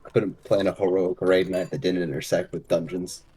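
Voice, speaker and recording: A man running a tabletop game as game master over a voice call, relaxed and joking. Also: low voice, moderate pace, husky. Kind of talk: casual tabletop game session.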